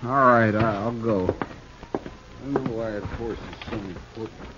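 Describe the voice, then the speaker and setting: An actor playing a whiny, dim, touchy young outlaw sidekick in a 1950s radio western, stammering childishly with an American accent. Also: male voice, lowish voice, a little slow, natural voice. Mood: whining. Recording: muffled, noisy old 1950s radio recording.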